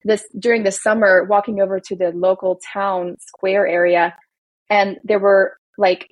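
Husky-voiced woman explaining workers' rights. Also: medium pitch, little pitch movement, measured pace, dry recording, poor audio. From Belgium. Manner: earnest, explanatory, speaks in long building lists. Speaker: employment attorney guest. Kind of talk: casual podcast interview.

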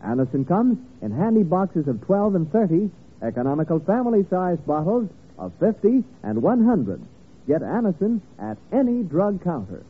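A man's voice: high voice, sing-song, moderate pace, a little hiss or hum. A man reading an emphatic scripted advertisement as an old-time radio announcer. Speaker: commercial announcer. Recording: tinny vintage radio recording.

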